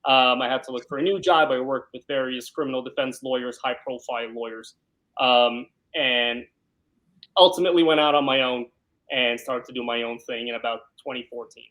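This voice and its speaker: A man from Brooklyn, talking at length as an interview guest and explaining things conversationally, often checking with a quick "right?". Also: medium pitch, fairly even intonation, fairly bright tone. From born in Ukraine, grew up in South Brooklyn, New York. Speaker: criminal defense lawyer, interview guest.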